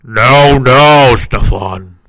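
A man's voice: mid-range voice, fairly bright tone, low-quality recording. A man voicing a towering robed cult leader who speaks coolly and commandingly, as part of a horror story he reads aloud. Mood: cold menace. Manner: cool, measured, ominous.

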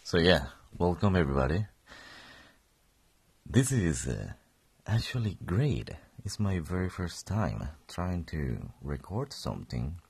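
Gravelly deep-voiced young man, just woken. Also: very animated, unhurried, darkish tone. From Colombia. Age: 20s. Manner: rambling, self-correcting, chuckling. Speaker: podcast host. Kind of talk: casual solo podcast.

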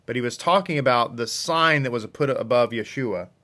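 A man's voice: mid-range voice, normal speed, sharp tone. A man giving an informal scripture lesson as a religious teacher, repeating phrases for emphasis. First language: English.

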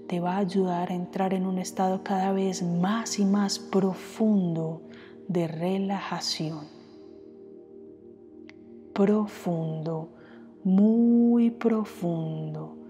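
A woman guides a meditation in a soft, mellow voice. She speaks very slowly and gently, leaving space between phrases. Her voice carries a long reverb, with music playing underneath. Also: medium pitch. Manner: unhurried, gentle, with long pauses. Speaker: meditation guide, podcast host. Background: background music.

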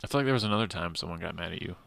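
A man hosting a casual chat, relaxed and teasing, who laughs often. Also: low voice, very fast, gravelly, no echo.

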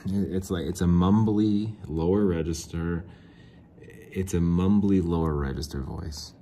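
A man with a very deep, mellow voice talks through a casual solo podcast monologue. He rambles and jokes at his own expense, clears his throat often and restarts sentences as he goes. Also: a little slow, slight background noise. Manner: rambling, self-mocking, answers his own questions. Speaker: podcast host.

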